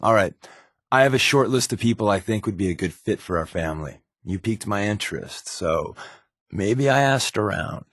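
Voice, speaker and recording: An actor playing a wry, secretive spy in a video game, with a glib, offhand, conversational delivery. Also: male voice, fairly low pitch, expressive, normal speed, a bit bright, no echo, studio quality. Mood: earnest, confiding. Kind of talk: video game companion dialogue.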